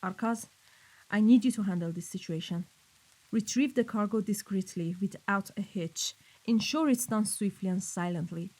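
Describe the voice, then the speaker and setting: A woman's voice: medium pitch, normal speed, darkish tone, natural voice, rough recording. A woman playing an exacting, impatient mafia boss in an audio roleplay, giving clipped, authoritative orders. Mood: cold, commanding. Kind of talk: scripted audio roleplay acting.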